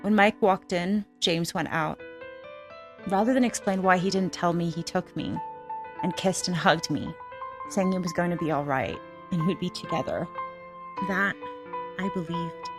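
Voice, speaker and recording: A woman's voice: on the low side, measured pace, long pauses. An actress playing a hard-boiled female ex-trafficker, narrating her own story in a frank, crude, wry way for a film. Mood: confiding, wry. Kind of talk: first-person film narration. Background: music.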